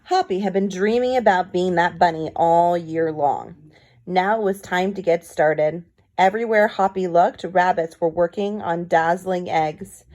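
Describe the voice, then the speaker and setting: A woman's voice: lowish voice, a little slow, darkish tone. A woman narrating a children's storybook, with casual asides that explain things to young listeners. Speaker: picture book read-aloud reader.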